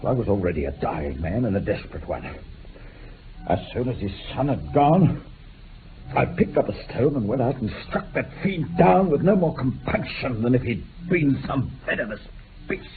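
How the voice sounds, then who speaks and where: An actor playing a remorseful, dying old former bushranger in a radio drama, making an impassioned, halting confession. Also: male voice, medium pitch, big pitch swings. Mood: desperate. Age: older. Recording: muffled phone-like old radio recording, hiss.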